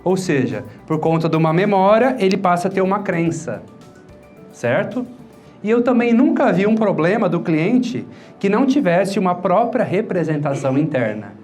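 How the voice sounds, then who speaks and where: Male Brazilian instructor, somewhat higher voice, didactic question-and-answer lecture delivery, light music bed underneath. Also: big pitch swings, normal speed, darkish tone. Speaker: hypnotherapist and instructor. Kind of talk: instructional lecture explaining a method with examples. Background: background music.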